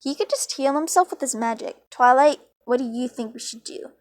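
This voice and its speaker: A woman's voice: high voice, normal speed, lightly acted. A woman voicing a sensible, protective female farm pony, blunt and indignant, in an amateur story reading. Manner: blunt, demanding.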